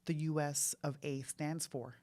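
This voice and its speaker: A woman's voice: sits low, little pitch movement, unhurried, a little mellow. A woman from Brooklyn, co-hosting a casual discussion show, speaking with conviction and affirming her partner often. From Brooklyn, New York. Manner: affirming, speaks with conviction, lots of agreeing murmurs. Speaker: podcast co-host.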